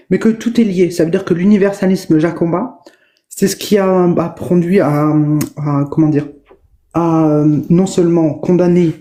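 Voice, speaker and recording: A woman's voice: fairly low pitch, warm tone. A woman debating in a casual online discussion, hesitant and full of fillers and restarts. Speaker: debate guest.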